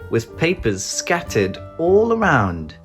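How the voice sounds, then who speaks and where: Children's read-aloud narrator: gentle, affectionate, very animated intonation, music bed behind. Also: medium pitch, takes it slowly. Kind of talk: expressive picture book read-aloud for children.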